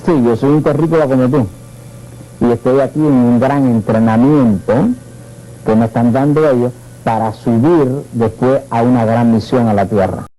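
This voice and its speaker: Male witness, dark mellow voice, spoken recollection, faint music underneath. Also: medium pitch, fairly even intonation, normal speed, low-quality recording. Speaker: witness giving testimony. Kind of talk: spoken recollection in an archive clip.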